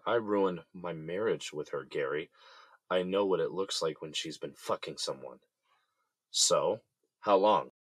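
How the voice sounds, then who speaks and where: Guy acting bitter guarded inmate for audio drama, really deep rough voice, short clipped answers. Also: unhurried, dry recording. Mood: bitter, cold anger. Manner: terse, guarded. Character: guarded, bitter man jailed and feeling betrayed.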